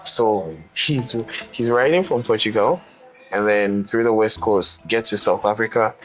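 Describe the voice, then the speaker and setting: Male interviewer, fairly low voice, casual and conversational hosting. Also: a little slow, fairly bright tone, faint music behind, poor audio. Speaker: interviewer, channel host.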